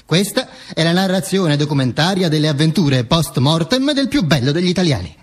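Man acting dead Italian dictator, vain, grandiose, husky voice, animated pitch, theatrical stage monologue. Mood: boastful. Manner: pompous, self-aggrandizing, halting asides to the audience.

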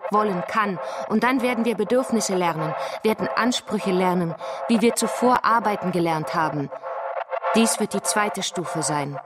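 A woman's voice: mid-range voice, heavy background noise, close to an everyday voice. An actress playing a resolute, earnest woman revolutionary in a radio drama, speaking in a declamatory way. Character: fervent woman revolutionary proclaiming a planned utopia of machines. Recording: music playing behind the voice.

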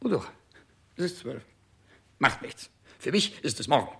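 A man's voice: mid-range voice, big pitch swings, unhurried, gravelly, breathy edge, exaggerated voice. An actor playing an imperious, petulant, ailing king in denial, pompous and capricious, in a 1960s radio play. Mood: dismissive, petulant. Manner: capricious, pompous, swinging from shouts to mutters.

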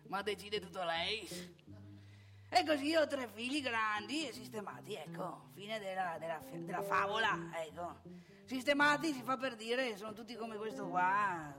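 An older family man with a strikingly high voice. He grumbles and confides in a comic theatrical monologue, chatty and repetitive, talking straight to the listener. Music plays clearly behind him. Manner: colloquial, repetitive, confiding, addresses the listener directly.

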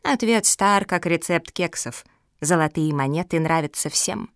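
A woman voicing a sharp-tongued, cynical female squirrel in a storybook, domineering and insinuating. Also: lowish voice, unhurried, clear, resonant tone, dry recording, studio quality. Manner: insinuating, triumphant, interrogating.

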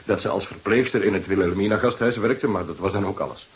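An earnest junior police detective acted by a man in a radio play. His voice sits fairly low and he reports dutifully, eager to explain, repeating words now and then. The sound is somewhat muffled. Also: fast talker, lightly acted. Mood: matter-of-fact. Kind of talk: Dutch radio drama acting.

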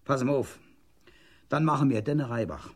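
An actor playing a scheming, grandiose middle-aged civil servant with a Saarland accent, pompous and dreamy in a radio drama. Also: male voice, medium pitch, moderate pace, slightly rough, close to an everyday voice. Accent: Saarland German.

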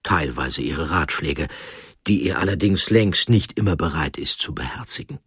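A man reading a scripted documentary narration with deliberate, emphatic phrasing. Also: extremely low pitch, expressive, fairly bright tone, husky, dry recording, studio quality.

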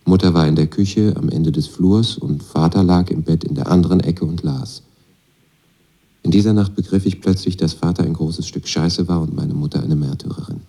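A man's voice: low voice, normal speed, dark tone, breathy edge. An actor playing a disillusioned young pacifist student, telling his story in a laconic, matter-of-fact radio drama monologue. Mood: matter-of-fact, bitter. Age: young adult. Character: disillusioned young Moscow student, pacifist son of army father. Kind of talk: radio drama monologue, first-person testimony.

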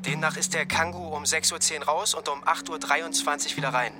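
An actor playing an eager junior police investigator in a naturalistic radio crime drama, brisk, casual and matter-of-fact. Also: male voice, a little high, steady tone, close to an everyday voice. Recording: music behind the voice.

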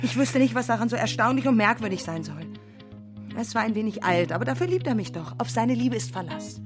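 An actress playing a naive, practical, self-assured young bride-to-be in a German radio drama, chatty and insistent. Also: female voice, a little high, animated, brisk, music in the background, close to an everyday voice. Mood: defiant.

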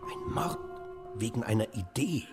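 An actor playing an earnest, anxious master printer in a historical German radio play, hesitant yet insistent. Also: male voice, on the low side, lively intonation, a little slow, music in the background. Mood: uneasy.

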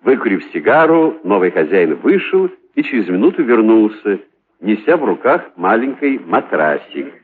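Man, children's story narration, very animated intonation, dramatic emphasis, slightly raspy, narrow telephone-like recording. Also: fairly low pitch, moderate pace, a little hiss or hum. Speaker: audiobook narrator. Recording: thin, narrow-band phone-like sound.

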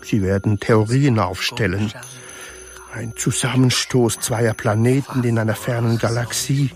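A man narrating a radio drama in a grave, measured, solemn way. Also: medium pitch, steady tone, faint music behind. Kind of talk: slow, solemn literary narration.

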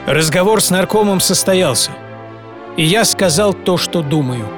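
A man's voice: high voice, animated, moderate pace, voice pushed up. A man playing a troubled, stubborn 1930s Soviet tank designer in an intense, confiding dramatic radio monologue. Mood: proud, solemn. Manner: intense, confiding, dropping to near-whisper. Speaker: narrator and author of radio programme. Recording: music bed under the voice. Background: music.

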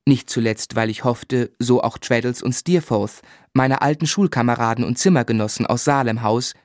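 An actor playing a sentimental, wry young gentleman who narrates his own life in a German radio drama. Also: medium pitch, normal speed, dry recording, clean studio sound, lightly acted. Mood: wry, reminiscent. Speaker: first-person narrator.

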